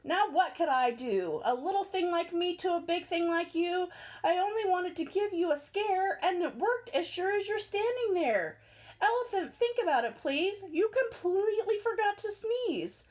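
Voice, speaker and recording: A woman's voice: very high voice, animated, normal speed. A woman doing a cheeky little mouse. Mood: teasing. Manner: teasing, bossy. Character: small cheeky male gray mouse, bold and teasing.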